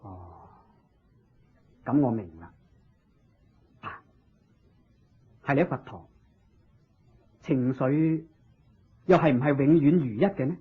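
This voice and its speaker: An actor playing a humble, eager king in a Cantonese radio drama, with a husky voice, a Hong Kong accent and deferential, expressive exclamations. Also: medium pitch, slow, long pauses, exaggerated voice. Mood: curious. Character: humble, eager king seeking Buddhist teaching. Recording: dull lo-fi radio drama recording.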